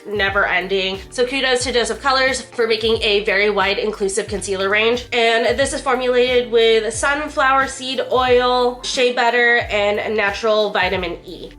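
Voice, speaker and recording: A woman's voice: a little high, fairly bright tone. Nasal, congested young woman vlogger. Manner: chatty, lots of "like" fillers. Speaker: beauty vlogger. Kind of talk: casual product review.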